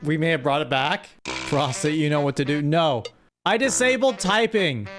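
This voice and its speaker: A man's voice: fairly high pitch, lively intonation, normal speed, a bit bright, faint music behind. A man hosting a stream with casual comedic commentary, exasperated and playfully scolding as he reads out what viewers write. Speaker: streamer host.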